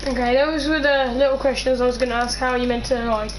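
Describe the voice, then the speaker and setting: Brief: woman, high voice, halting curious questions, background noise. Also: steady tone, low-quality recording. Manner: hesitant, full of fillers and restarts. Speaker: co-host asking questions. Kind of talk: casual chat.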